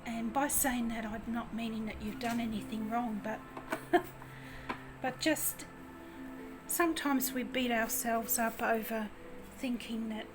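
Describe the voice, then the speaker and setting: Soft-voiced woman, tarot reader, slow and hesitant, a touch high, music bed underneath. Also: fairly even intonation, rough recording. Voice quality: quiet voice. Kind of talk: soft-spoken tarot reading.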